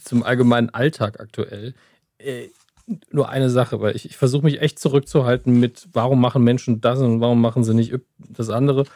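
A man's voice: medium pitch, fairly even intonation, moderate pace, warm tone, dry recording. A man co-hosting a podcast, talking in a dry, self-mocking way. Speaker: podcast co-host. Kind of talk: casual podcast chat and recorded spoken insert.

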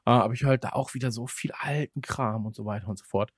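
A man's voice: mid-range voice, fast, breathy edge, no room sound, clean studio sound. A man chatting casually as a podcast host, rambling along with ironic jokes. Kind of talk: casual chat.

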